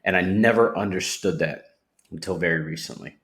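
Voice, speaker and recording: Deep voiced guy telling his story, sincere, kinda echoey room sound. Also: fairly bright tone. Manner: sincere, reflective, pauses and restarts. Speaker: podcast guest. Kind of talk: personal testimony. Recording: roomy echo.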